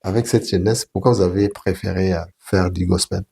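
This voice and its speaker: A man with a Congolese French accent hosting a talk show interview in a halting, deliberate way. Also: low voice, fairly even intonation, gravelly, mellow. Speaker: TV show host.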